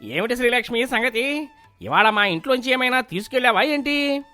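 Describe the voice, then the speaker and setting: A man playing a greedy, middle-aged village landlord in an animated moral story, wheedling and demanding with drawn-out questions. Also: very high voice, faint music behind, exaggerated voice. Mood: grasping, demanding.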